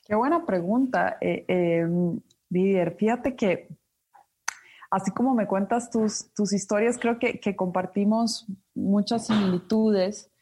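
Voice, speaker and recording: A woman from Ecuador speaks as an academic guest in an interview, at a medium pitch. She answers reflectively and often pauses with fillers and restarts. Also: dark tone, husky. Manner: thoughtful, many fillers and restarts. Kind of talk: interview conversation.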